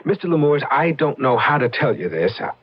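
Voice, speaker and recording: Young husband, earnest and hesitant, husky with lively pitch, for a vintage radio drama. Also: male voice, muffled recording. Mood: troubled, hesitant. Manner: hesitant, halting. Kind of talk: old-time radio drama acting.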